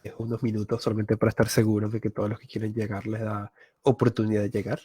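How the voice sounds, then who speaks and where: A man from Venezuela, a YouTuber giving a live online masterclass, thinking aloud with filler pauses and frequent self-corrections. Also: on the low side, monotone, dark tone, slightly rough, no echo. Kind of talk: live-streamed talk.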